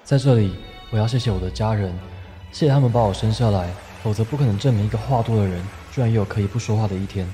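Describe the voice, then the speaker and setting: A man playing a wordy, lovestruck high school boy in a radio drama, given to mock-solemn, theatrical turns. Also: fast talker, close to an everyday voice. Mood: mock-grand gratitude. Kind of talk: radio drama dialogue and inner monologue. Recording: music behind the voice.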